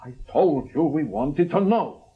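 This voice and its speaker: A man acting in a radio drama as a wary, probing Soviet KGB major, speaking formally and deliberately in clipped phrases. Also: fairly high pitch, lively intonation, dull audio. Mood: insistent.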